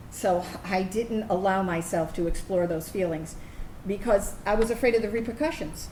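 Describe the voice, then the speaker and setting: A woman's voice: medium pitch, natural voice. A woman acting a reflective former Navy officer, reading an earnest personal monologue on stage. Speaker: actor reading a veteran's personal narrative. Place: indoor room, staged reading. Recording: echoey room, steady background noise.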